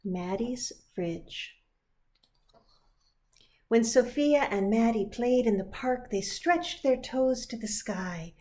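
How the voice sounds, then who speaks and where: A woman narrating a children's picture book in an expressive, deliberate storytelling manner. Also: on the high side. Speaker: pastor reading a picture book.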